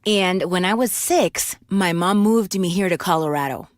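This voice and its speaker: Woman, forties, from Puerto Rico, candid storyteller, lower pitch, dry sound. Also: normal speed, no room sound. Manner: candid, animated storytelling with frequent restarts. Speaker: guest voice actor and singer. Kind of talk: casual interview.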